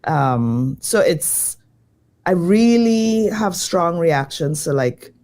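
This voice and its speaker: A woman design educator, slightly croaky from jet lag, answering interview questions in a lively, thoughtful way. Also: lowish voice, drawn-out words. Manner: lively, thoughtful, many fillers.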